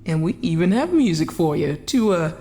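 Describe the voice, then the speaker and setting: An actress playing a female coroner at an inquest who questions a witness in a polite, insinuating, quietly commanding way. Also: fairly low pitch, sing-song, moderate pace. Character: cool, needling female coroner, calmly menacing. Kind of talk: 1940s radio acting.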